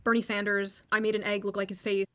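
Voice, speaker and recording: A woman casually and defensively explaining herself in a quiet, distant-sounding played-back online clip. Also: medium pitch, little pitch movement, fast talker.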